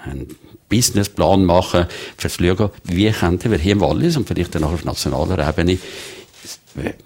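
A man's voice: low-pitched, moderate pace, a little mellow, slightly rough. A man in his sixties with a Swiss German Valais accent, a guest in a studio interview who talks with many fillers and restarts. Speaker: guest, early retiree.

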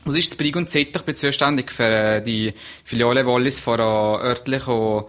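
Young Swiss German man, radio guest, halting and self-correcting. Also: mid-range voice, a bit bright. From Switzerland. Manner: hesitant, many restarts. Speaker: interview guest. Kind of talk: casual radio interview.

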